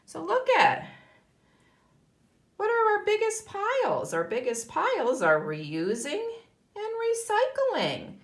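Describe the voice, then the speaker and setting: A woman's voice: extremely high pitch, wildly swooping pitch, slow pace. A woman with an American accent teaching young children, instructive, posing questions and answering them herself. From United States. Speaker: kindergarten teacher. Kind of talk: read-aloud lesson for young children.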